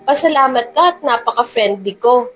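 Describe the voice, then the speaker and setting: Woman voicing young saleslady, friendly, dramatic teasing, high voice, storytelling audio, faint music. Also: lively intonation, normal speed, fairly bright tone. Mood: playful teasing.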